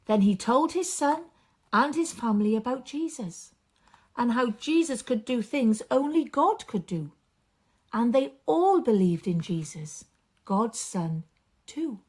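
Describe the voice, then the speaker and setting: A woman storyteller speaks to children in a highish, lively voice. Her phrasing is deliberate and dramatic, and a husky breathiness softens it, often into a hush. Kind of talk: expressive story reading and gentle teaching for children.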